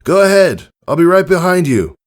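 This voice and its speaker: A man's voice: sits high, very animated, normal speed, pitched above the performer's own voice. Man playing an earnest, patient pegasus dad. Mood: urgent, reassuring. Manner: earnest, instructional, encouraging. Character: caring, patient adult male pegasus father coaching his child. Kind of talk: dramatized storybook character reading.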